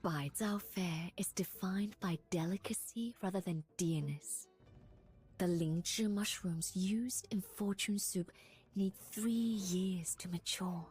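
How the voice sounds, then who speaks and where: A woman voicing a soft-spoken, slow, scholarly young woman. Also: mid-range voice, natural voice. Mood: calm, earnest. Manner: measured, formal, delicate phrasing. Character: gentle scholarly young woman, refined, devoted assistant and chronicler. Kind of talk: scripted game character voice lines, close to ASMR.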